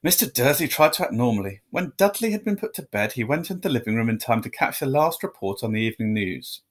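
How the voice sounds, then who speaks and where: A male teacher reads a story aloud. His voice is medium in pitch and highly animated, rising and falling expressively through the narration. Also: quick pace.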